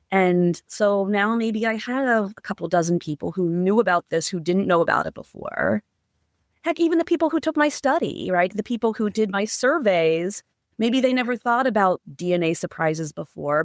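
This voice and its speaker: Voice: mid-range voice, no echo, clean studio sound. A middle-aged psychotherapist and professor answering interview questions earnestly, often restarting words and adding "like" and "right?". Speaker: psychotherapist and professor, podcast guest.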